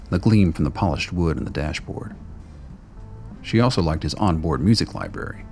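A man narrates a scripted radio drama. His voice is very deep with a creaky, gravelly grain. Soft music sits behind the storytelling.

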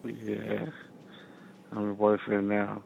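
Gravelly teasing man on a phone. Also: lowish voice, completely flat delivery, slow pace, darkish tone. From Hawaii. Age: 30s. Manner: teasing, insistent, repeats himself. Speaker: phone-in chat caller. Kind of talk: casual flirty banter. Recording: tinny phone line, faint noise.

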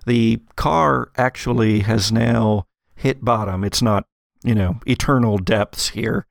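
A man with a fairly low, creaky voice runs a tabletop game. He improvises the narration in a halting, conversational way. The sound is close and dry. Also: no echo. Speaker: game master.

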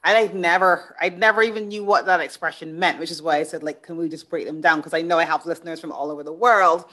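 A woman's voice: lowish voice, fairly even intonation, fast talker, sharp tone. A middle-aged woman from Barbados hosting a podcast interview, chatting casually and thinking aloud with restarts. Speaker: podcast host.

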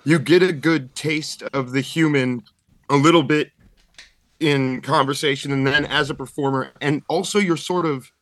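A man's voice: a little high, steady tone, moderate pace, a bit bright, dry recording. A man guesting on a podcast, talking thoughtfully and searching for words, with many fillers. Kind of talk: reflective conversational talk.

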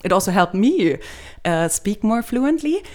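A woman's voice: medium pitch, very animated, unhurried, darkish tone. A young woman from Germany, a native German speaker, explaining things casually in English with plenty of examples. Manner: explanatory, gives many examples.

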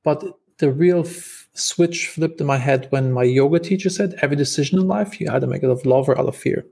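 A man's voice: on the high side, a little fast, creaky, warm tone, breathy edge. A man with a German accent, a guest on a podcast, telling stories casually with lots of fillers and restarts. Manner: talkative, many fillers and restarts. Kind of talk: casual interview storytelling.

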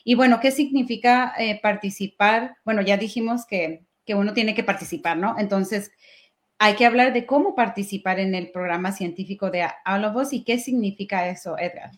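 A woman's voice: medium pitch, normal speed. Young woman hosting a conversational interview. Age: young adult. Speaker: interviewer, host.

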